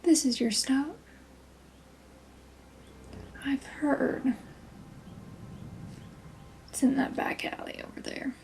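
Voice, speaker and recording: Woman acting as a hushed, creaky-voiced cyberpunk cabbie. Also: high voice, slow, long pauses. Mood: casual, dismissive. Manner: hushed, breathy half-whisper. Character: guarded, streetwise female cyberpunk taxi driver. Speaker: ASMR roleplay performer. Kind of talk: soft-spoken ASMR sci-fi roleplay. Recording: noticeable background ambience noise. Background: sci-fi city ambience.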